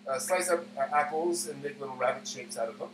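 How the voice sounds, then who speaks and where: Male cooking-stream host, slightly higher register, thinking aloud in loose, halting fashion; picked up from a distance in an echoey room. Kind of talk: casual live cooking demonstration. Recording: roomy, far mic, clear background hum.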